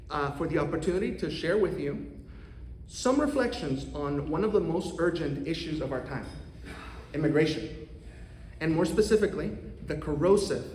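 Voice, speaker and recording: A man in his thirties from Costa Rica with a Costa Rican accent, an economics professor giving an earnest, measured prepared talk to a congregation. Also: a little high, a little hiss or hum. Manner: earnest, measured, with occasional restarts. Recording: roomy hall reverb, faint ambience.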